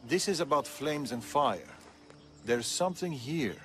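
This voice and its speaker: A stern, seasoned assassin mentor. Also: male voice, mid-range voice, lively intonation, measured pace, a bit bright, faint music behind. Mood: intent. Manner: instructive, clipped, serious. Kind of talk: video game cutscene acting.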